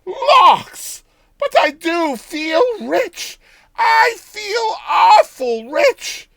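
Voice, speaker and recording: A man's voice: very high-pitched, sing-song, extremely slow, vocal fry, fairly bright tone, no echo, voice pushed up, exaggerated voice. A man voicing a cheery, ever-optimistic old countrywoman from a storybook, exclaiming in folksy rustic speech. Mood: amazed, elated.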